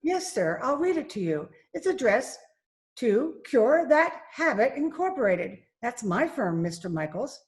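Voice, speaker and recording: Lady doing a proper businesswoman in radio comedy, kinda high voice, formal and insistent. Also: sing-song, measured pace. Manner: formal, precise. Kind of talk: old-time radio comedy acting.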